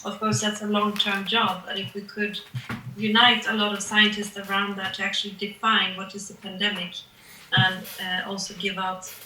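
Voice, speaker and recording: Bright-voiced woman hosting an online meeting. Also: mid-range voice, completely flat delivery, a little hiss or hum. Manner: halting, many restarts and fillers. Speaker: meeting host and coordinator. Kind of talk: online group meeting, casual. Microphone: video call. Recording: echoey distant video call audio.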